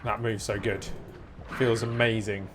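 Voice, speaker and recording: A man's voice: on the low side, flat delivery, measured pace, noisy background. A man with a British accent streaming a game, giving casual, chatty commentary and reacting aloud as he goes. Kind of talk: casual live gameplay commentary.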